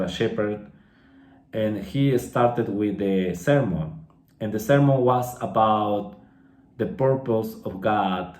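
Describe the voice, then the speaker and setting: Slow-speaking Peruvian man, echoey room. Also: fairly low pitch, a little mellow. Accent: Peruvian Spanish. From Peru. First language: Spanish. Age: 30s. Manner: slow and clear, restating phrases with tag questions like okay and right. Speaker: English teacher sharing a testimony. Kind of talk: personal testimony for English learners.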